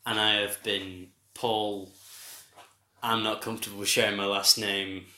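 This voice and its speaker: A man's voice: lowish voice, little pitch movement, unhurried, sharp tone. A man co-hosting a casual podcast chat, throwing in short, dry, teasing remarks. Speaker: podcast co-host. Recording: echoey room sound.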